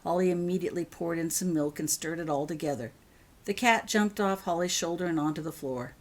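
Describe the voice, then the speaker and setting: Female narrator, low and husky, for author-read fiction audiobook. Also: a little fast, a little mellow. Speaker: author reading own fan fiction.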